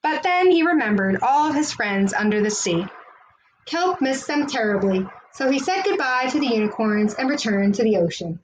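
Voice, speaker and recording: Expressive woman reading a children's picture book. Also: on the high side, slight background noise, low-quality recording. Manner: expressive storytelling with drawn-out dramatic phrasing. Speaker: read-aloud host.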